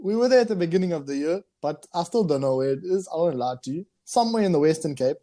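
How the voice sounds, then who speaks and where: A man's voice: a little high, lively intonation, fast, dark tone. A young man hosting a podcast, playful and laughing often, with casual hesitant phrasing. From South Africa. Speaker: podcast host.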